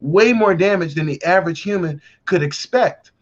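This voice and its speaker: A man's voice: high voice, moderate pace. A man on an online call, laying out his argument step by step in a casual discussion. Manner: explanatory, lays out arguments step by step. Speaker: guest. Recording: dull lo-fi call audio.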